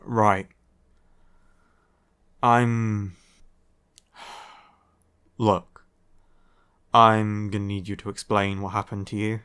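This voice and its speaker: A man's voice: fairly low pitch, flat delivery, drawn-out words, pauses often, fairly bright tone, clear, resonant tone. A man playing a grumpy, weary young vampire in an audio roleplay, curt and sardonic with heavy sighs. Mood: weary, impatient. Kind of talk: roleplay audio acting, ASMR.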